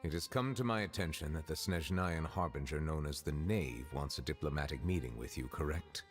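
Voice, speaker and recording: An actor playing a reserved, dignified chief judge in a video game, speaking in a measured, formal, cautious way. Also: male voice, a bass voice, soft background music. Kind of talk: scripted video game acting.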